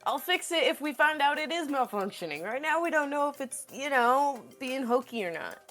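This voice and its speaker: A woman's voice: high-pitched, animated, moderate pace, fairly bright tone, faint music behind, pitched above the performer's own voice. A woman voicing a tall, unsure, defensive spaceship engineer in a casual improvised tabletop game.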